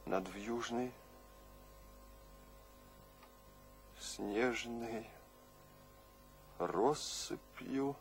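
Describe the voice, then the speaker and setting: An actor playing a feverish, exalted poet tormented by visions, declaiming dramatically in a radio play. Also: male voice, mid-range voice, slight background noise. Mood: hushed reverence. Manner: declamatory, dramatic, shifting between hush and outcry. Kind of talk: theatrical radio drama monologue.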